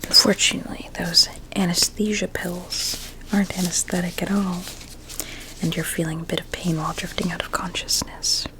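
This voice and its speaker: A woman playing a vain, sinister blueberry doctor in an ASMR medical roleplay, speaking in a soft breathy whisper with soothing menace. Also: lowish voice, steady tone, moderate pace, low-quality recording. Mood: coldly sinister.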